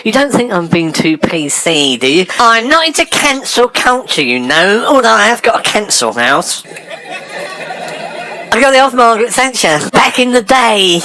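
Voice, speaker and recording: A man playing a camp, touchy, chatty English gentleman with affected, arch airs in an old-fashioned radio sitcom style. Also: sits high, sing-song, measured pace, a faint crowd, exaggerated voice, rough recording. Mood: indignant. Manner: affected, arch, gossipy, indignant.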